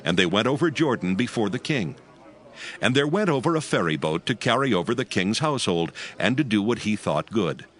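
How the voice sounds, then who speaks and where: Grave expressive male scripture narrator. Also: lowish voice, fairly quick. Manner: steady, grave storytelling. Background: music.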